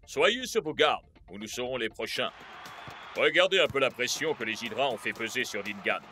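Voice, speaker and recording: Earnest, bright-voiced football coach. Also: male voice, medium pitch, animated, normal speed, slight background noise. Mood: serious warning. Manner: serious, instructive briefing. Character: earnest, protective male football team coach. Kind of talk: French cartoon dubbing.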